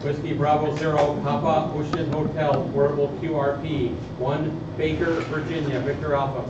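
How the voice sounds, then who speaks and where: A man's voice: fairly high pitch, flat delivery, moderate pace. A man taking part in a practice radio exchange with short, formulaic call-and-response replies. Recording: very echoey, distant, dull, loud noise.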